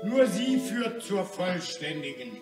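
An actor in a German radio play, playing a measured, skeptical Parisian gentleman with terse delivery. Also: sits high, big pitch swings, heavily acted. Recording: distant, echoing, loud music behind.

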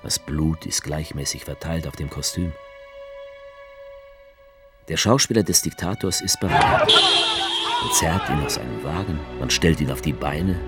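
A grim male writer recounts a staged war in a radio play. His voice sits extremely low, and the delivery is stark and incantatory. Music plays clearly beneath him. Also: unhurried, pauses often. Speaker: author character narrating. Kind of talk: radio drama narration. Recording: music under the voice.

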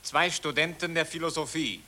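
An actor playing a terse narrator who sets the scenes of a radio drama. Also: male voice, fairly high pitch, normal speed, bright, forceful tone. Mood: detached. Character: terse scene-setting announcer.

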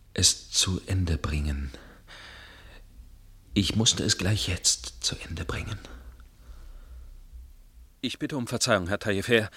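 An actor playing a tormented, lovelorn gentleman torn by conscience, speaking formally and earnestly in a radio drama. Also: low voice, slightly rough, heavily acted. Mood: brooding. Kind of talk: German radio drama acting, narration and dialogue.

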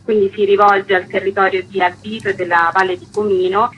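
A woman's voice: medium pitch, flat delivery, a little fast, dull audio. A woman festival co-director explaining an event in interview answers. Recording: faint background noise.

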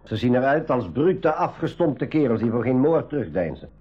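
A man's voice: medium pitch, big pitch swings, moderate pace, breathy edge. Gloomy seafaring man, muffled old recording. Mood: grim, resigned. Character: gloomy, wary seafaring adventurer, male companion. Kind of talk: 1980s Dutch-language school radio play acting. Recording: dull lo-fi 1980s radio recording.

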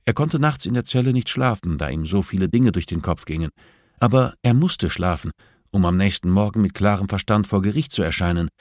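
A man's voice: low voice, animated, a little fast, dry recording, clean studio sound. A man voicing a composed, firm female prison therapist who speaks politely and insistently, as audiobook dialogue. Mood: probing, calm.

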